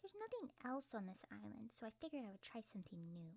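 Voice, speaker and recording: A woman's voice: fairly high pitch, very animated, fast, a little mellow. A woman playing a haughty, easily irritated young female student dancer in a roleplay, brusque and proud. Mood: indignant. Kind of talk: ASMR roleplay acting. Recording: clearly noisy, crickets and ambience behind.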